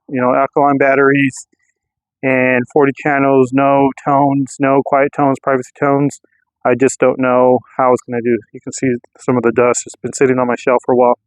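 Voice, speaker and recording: A man's voice: mid-range voice, no echo. A man talking casually as a vlogger during a field test of radio gear, in slightly muffled audio.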